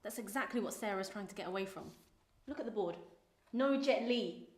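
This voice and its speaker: A woman's voice: medium pitch, a little fast, echoey room, close to an everyday voice. A woman playing a flustered stand-in leading a rehearsal, who turns defensive. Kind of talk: short film acting.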